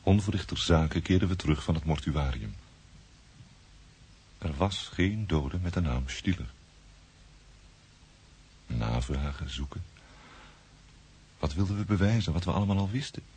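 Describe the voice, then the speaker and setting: Dark, low-voiced, earnest man. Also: expressive, unhurried, long pauses. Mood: sober, pensive. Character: sober, earnest male friend, thoughtful witness to the uncanny. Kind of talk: literary radio drama narration. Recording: faint background noise.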